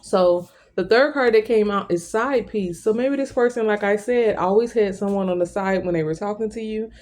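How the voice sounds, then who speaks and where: Male tarot reader, slightly high pitch, very mellow relaxed tone, conversational, repetitive, direct address. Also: moderate pace. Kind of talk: informal spoken tarot card reading.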